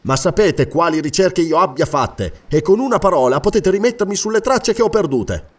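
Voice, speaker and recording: A man's voice: sits high, animated, normal speed, recorded from a distance, voice pushed up. A man voicing a stern, earnest lord in an audiobook, formal and insistent as he questions someone. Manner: formal, insistent, pleading for answers. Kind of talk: dramatic audiobook character reading.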